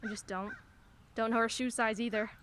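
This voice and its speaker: A woman's voice: on the high side, steady tone, fairly quick, background noise, close to an everyday voice. An actress playing a wry, candid single mother in her forties in a radio play, a lawyer who laughs easily while talking. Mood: conversational, wry.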